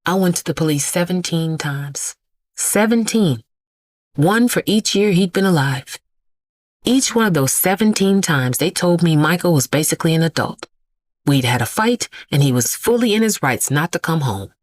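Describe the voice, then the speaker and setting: An actress playing a grieving, defiant middle-aged mother in an audio drama monologue, speaking emphatically and deliberately. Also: fairly low pitch, animated, no echo, clean studio sound. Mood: bitter, indignant. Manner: emphatic, deliberate, repeating words for weight. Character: grieving, defiant mother, an immortal vigilante bag lady.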